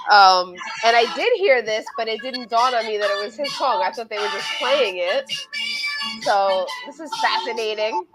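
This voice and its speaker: A woman's voice: on the high side, poor audio. A woman co-hosting a casual sports podcast, sounding congested as she gets over an illness and chatting with lots of fillers. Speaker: podcast co-host. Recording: music playing loudly behind.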